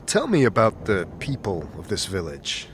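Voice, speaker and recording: A man's voice: deep voice, huge pitch swings, moderate pace, a bit bright, a little hiss or hum, exaggerated voice. A man playing a curious, patient, slightly wary adventuring leader in an audio drama, with a hesitant, questioning manner. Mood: curious, careful.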